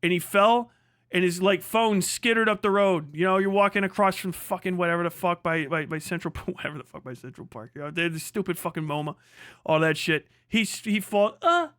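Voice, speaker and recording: A man's voice: sits high, a little fast, fairly bright tone, no room sound, clean studio sound. A man giving casual, comedic livestream commentary, profane and emphatic, often repeating phrases for emphasis. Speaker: livestream host.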